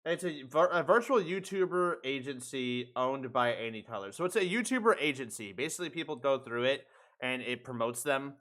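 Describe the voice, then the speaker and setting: Male livestream host, sharp bright tone, middle pitch, loose rambling sweary chat. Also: animated, a little fast. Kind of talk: casual chat.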